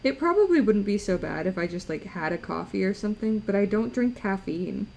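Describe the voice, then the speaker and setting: A woman's voice: mid-range voice, expressive, brisk, vocal fry, darkish tone, slight background noise. A young woman streaming while she draws, chatting and teasing her viewers, tired, with her voice breaking. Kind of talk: casual chat while drawing on stream. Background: faint music.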